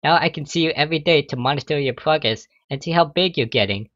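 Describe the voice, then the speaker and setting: A man's voice: fairly high pitch, quick pace, a bit bright, dry recording, natural voice. Guy reading as a soothing pony mother, doting and reassuring, storybook style, slightly dull dry recording. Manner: cooing, reassuring. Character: doting, calm unicorn mother and school official.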